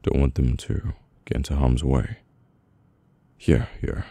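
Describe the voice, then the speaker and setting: A man plays a guarded, brooding British mafia boss in an intimate ASMR audio roleplay. His voice is extremely deep and dark, murmured slowly with halting pauses. He sounds weary, with a softer side under the stiff front. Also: fairly even intonation, no room sound, full character voice. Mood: awkward, caring.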